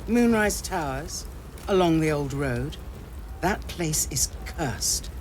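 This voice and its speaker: An actress playing a stern, dutiful city councillor in a video game, speaking formally and gravely with urgent authority. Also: female voice, deep voice, expressive, slow pace, a little mellow, a little hiss or hum, low-quality recording. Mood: grave, commanding. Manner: formal, commanding. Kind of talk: video game dialogue acting.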